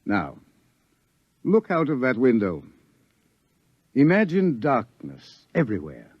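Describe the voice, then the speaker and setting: An actor playing a grave scientist and doctor who lectures in a measured, ominous way. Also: male voice, fairly high pitch, no room sound, full character voice. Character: grave older scientist warning of doom. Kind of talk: 1950s radio acting. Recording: dull 1950s radio broadcast.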